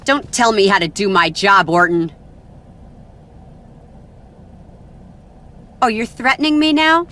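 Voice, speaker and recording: A woman playing a brisk, authoritative wrestling boss in a video game cutscene, commanding and businesslike. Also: mid-range voice, very animated, sharp tone. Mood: stern.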